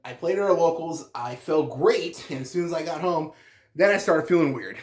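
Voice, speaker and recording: A man's voice: on the high side, normal speed. Congested man chatting on his channel. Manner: rambling, chatty, many fillers and restarts. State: congested, stuffy voice. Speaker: card game channel host. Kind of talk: casual deck profile vlog.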